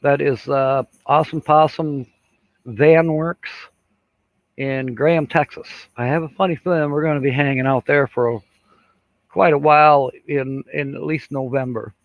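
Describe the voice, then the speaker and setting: A man's voice: mid-range voice, fairly even intonation, normal speed, dry recording. An older man hosting a casual live stream, rambling and chuckling, with many fillers as he reads out viewer comments. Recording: dull lo-fi sound.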